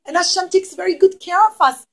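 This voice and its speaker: A woman with a high voice tells a tale to young children. She is dramatic and emphatic, leaning on key words and quizzing her listeners like a teacher. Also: a little fast, a bit bright. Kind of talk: animated storytelling to young children.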